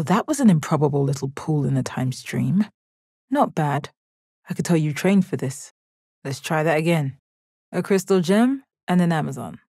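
A woman playing a stoic, confident, commanding gem warrior in a video game, with a measured, deadpan delivery. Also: low voice, husky, no echo, clean studio sound, exaggerated voice. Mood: impressed. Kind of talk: video game character battle lines.

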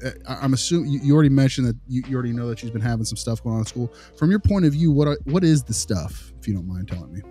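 Man, mellow middle-pitched voice, behavior analyst, polite, explanatory, conversational role-play, faint music behind. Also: animated, rapid-fire, few pauses. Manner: polite, explanatory, hesitant phrasing. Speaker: behavior analyst, podcast host.

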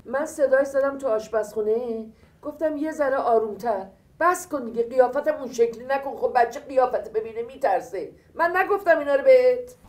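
A woman's voice: sits high, darkish tone, echoey room, close to an everyday voice. Woman playing a reproachful young wife. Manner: reproachful, explaining. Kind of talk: TV family drama acting.

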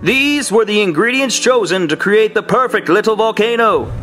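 A man's voice: sits high, expressive, normal speed, fairly bright tone. A man playing a playful, mock-heroic narrator in a parody of a superhero cartoon opening. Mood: grandly playful. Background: music.

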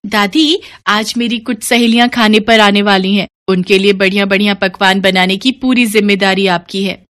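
A woman's voice: medium pitch, steady tone, normal speed, fairly bright tone, close to an everyday voice. Woman as a spoiled, whiny young girl. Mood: demanding. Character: spoiled, demanding young granddaughter.

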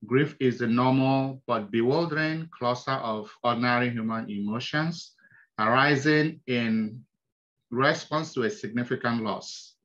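A man with a Nigerian accent presents to colleagues over a video call, pausing often with soft ums. His voice sounds bright and forward, but the call audio is dull and lo-fi. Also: medium pitch. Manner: halting, many ums, soft-spoken. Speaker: hospice chaplain. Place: small indoor room.

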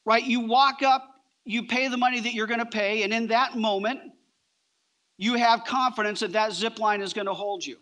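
High-pitched, bright-voiced preacher. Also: male voice, steady tone. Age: middle-aged. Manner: emphatic, with deliberate pauses between short phrases. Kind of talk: sermon. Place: large church auditorium, on stage.

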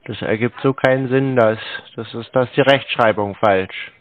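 A man's voice: mid-range voice, normal speed, dry recording. Phone-muffled man, pedantic and questioning. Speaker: scam-baiter posing as a customer on a call. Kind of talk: phone conversation. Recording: muffled narrow phone-like sound, dry.

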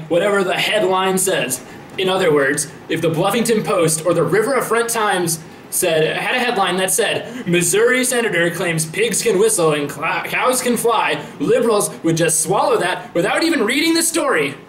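A man's voice: high-pitched, fairly bright tone. A man performing a dramatic reading as an indignant, folksy, self-righteous conservative politician, with theatrical and emphatic delivery. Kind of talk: dramatic reading of social media posts. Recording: roomy echo, faint background noise.

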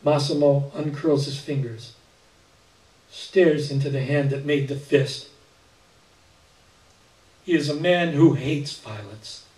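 An older man reading a literary short story aloud at a live event, with measured, expressive narration. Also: a little high. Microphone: stand mic with speakers, recorded by far camera. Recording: echoey room, distant camera pickup.

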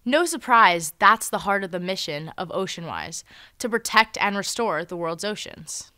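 A woman's voice: medium pitch, very animated, normal speed, pushed and bright, dry recording. A teenage girl reporting in a news studio, reading scripted interview questions.

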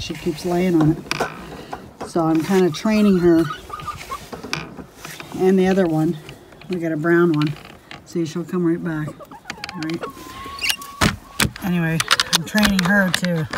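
A woman in her forties chatting casually while filming her homestead on a handheld phone, rambling and giggling often. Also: lowish voice. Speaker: homestead vlogger. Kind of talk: casual handheld vlog chat. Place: outdoors in a windy snowy yard. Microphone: phone camera held at arm's length. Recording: noisy outdoor phone audio with wind.

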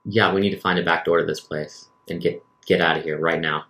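A man with a deep voice talks quickly and casually as a player in a tabletop game. His voice rings in an echoey room over faint guitar. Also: fairly even intonation. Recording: echoey room, music underneath. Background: soft guitar music.

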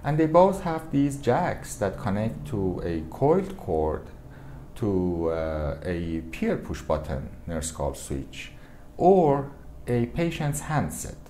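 Male product demonstrator, lowish mellow voice, halting step-by-step explanations, slight room noise. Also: very animated. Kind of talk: hands-on product walkthrough. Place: indoor room with equipment demo wall.

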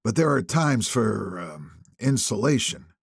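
A man's voice: lowish voice, lively intonation, measured pace, vocal fry, husky, no room sound, studio quality, full character voice. A man voicing an anxious, deferential confidant of a boss, speaking carefully and hesitantly in an audiobook. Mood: guarded, tense. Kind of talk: audiobook character dialogue.

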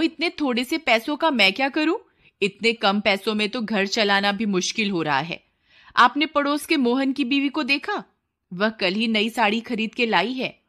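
A woman's voice: a little high, sharp tone, pitched above the performer's own voice. A woman voicing a greedy, complaining village wife in a children's cartoon story, with an insistent, taunting manner. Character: nagging, greedy village wife, dissatisfied and scheming.

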